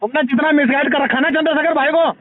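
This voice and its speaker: A man's voice: very high-pitched, steady tone, quick pace. A man in a heated phone argument, agitated, scolding and accusing, on a tinny recorded call.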